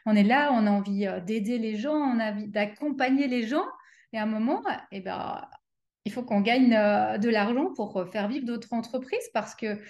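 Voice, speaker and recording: A woman's voice: on the high side, normal speed, a little mellow, lo-fi sound. A middle-aged woman hosting a casual interview, speaking with many fillers and restarts. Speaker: interview host and coach.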